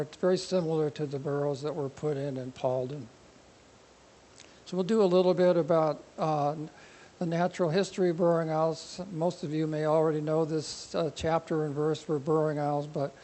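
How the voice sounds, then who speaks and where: A man giving an informal public talk with a quiet voice, rambling and joking as he goes, his nose running from the cold air, speaking into a microphone. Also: on the high side, a little hiss or hum, low-quality recording. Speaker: presenter at a conservation society talk.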